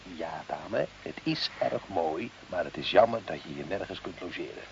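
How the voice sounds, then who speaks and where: An actor playing an irritable, mocking husband in a radio drama, gruff and dismissive in manner. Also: male voice, sits low, lively intonation, normal speed. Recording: dull, faintly hissy old radio recording.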